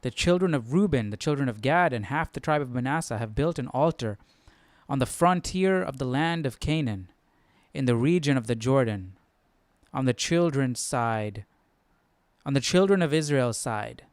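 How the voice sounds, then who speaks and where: A man hosting a Bible study, reading the passage aloud and thinking out loud with casual, hesitant asides. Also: mid-range voice, lively intonation, normal speed, no room sound.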